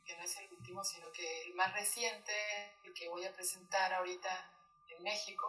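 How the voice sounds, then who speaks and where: Thirties woman, Chilean accent with a Mexican blend; relaxed, thoughtful interviewee heard from a distance in an echoey room. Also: on the low side, faint music behind. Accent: Chilean Spanish mixed with Mexican. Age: 30s. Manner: thoughtful, pausing, laughs often. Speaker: poet and guest.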